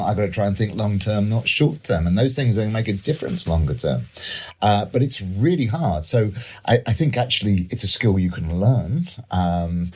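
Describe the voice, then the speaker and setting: A middle-aged British man with a very deep voice takes part in a panel discussion. He explains his thinking reflectively, setting out lists and examples as an expert guest would. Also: husky. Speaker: economist and author, panel guest.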